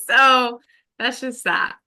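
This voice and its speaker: A woman's voice: a little high, creaky, sharp tone. A woman who stutters, with sound repetitions and lots of filler ums, talking casually as an interview guest. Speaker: podcast guest.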